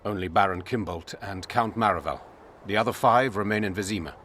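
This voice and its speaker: A man playing a low-voiced, stern royal constable. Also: fairly bright tone, a little hiss or hum, lightly acted. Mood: measured. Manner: formal, measured, authoritative. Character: stern, dignified male royal constable and commander. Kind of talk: video game dialogue acting.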